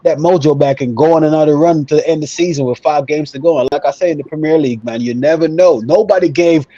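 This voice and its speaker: A man's voice: fairly high pitch, fast talker, mellow, no room sound, dull audio. A man talking casually and emphatically as an opinionated football fan on a panel show, repeating himself for emphasis. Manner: emphatic, repetitive, conversational.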